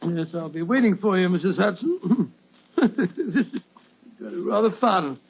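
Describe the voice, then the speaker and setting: A man's voice: high voice, lively intonation, takes it slowly, gravelly, breathy edge, heavily acted. A man playing a genial, fussy English doctor in Santa costume in an old-time radio detective drama, hearty and blustering. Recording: dull old radio recording.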